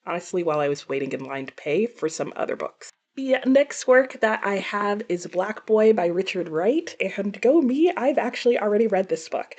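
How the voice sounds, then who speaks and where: A woman with a slightly low-pitched voice talks in a casual, conversational way. She presents like an online book reviewer, slipping in animated asides and correcting herself along the way. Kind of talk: casual chat to camera.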